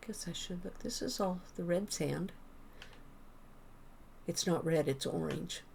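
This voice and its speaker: Woman chatting thru a game stream, kinda low voice with a gravelly edge, rambly. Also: unhurried, a little mellow, slight background noise. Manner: chatty and rambling, thinks aloud. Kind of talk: casual gameplay chat with viewers.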